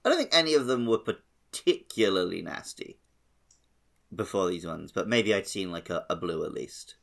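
A man with a fairly low voice co-hosts a gaming podcast. He talks in a casual, conversational style. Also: very animated, moderate pace, vocal fry, a bit bright. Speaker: podcast co-host.

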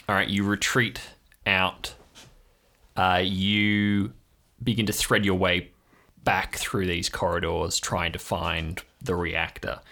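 A man's voice: deep voice, creaky, pushed and bright. A man narrating an improvised audio drama as game master, describing scenes atmospherically in the second person.

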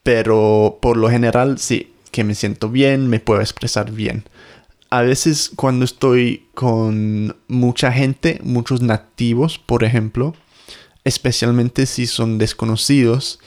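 Measured man speaking a learned language. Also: mid-range voice, little pitch movement, darkish tone. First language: English. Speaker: co-host. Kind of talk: casual podcast chat.

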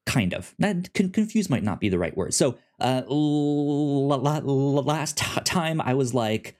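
A man's voice: mid-range voice, expressive, darkish tone. A young man co-hosting a casual podcast about comics, with lots of stammered restarts and fillers. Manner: halting, many restarts and fillers. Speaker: podcast co-host. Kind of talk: casual comic-book discussion.

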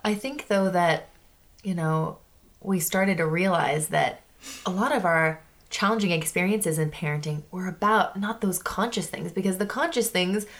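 Low-voiced reflective woman co-host. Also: moderate pace. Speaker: podcast co-host. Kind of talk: casual conversational chat.

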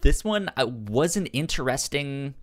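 A man chatting casually about comics as a podcast host, with a stutter that repeats sounds and syllables in a halting, animated delivery. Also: mid-range voice. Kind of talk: casual comic book discussion.

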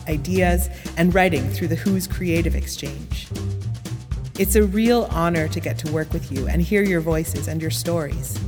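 A woman with a lowish voice gives closing thanks as a host. She is earnest and emphatic, recorded with long reverb over music. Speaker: host of a theatre project. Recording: reverberant, loud music behind.

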